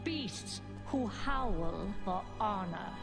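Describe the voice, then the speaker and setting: Contemptuous elven banshee queen, female, theatrical, slow, game cinematic, very loud music. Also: mid-range voice, animated, vocal fry, exaggerated voice. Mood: cold contempt. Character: haughty, contemptuous undead elven warrior queen. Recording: loud film score behind voice.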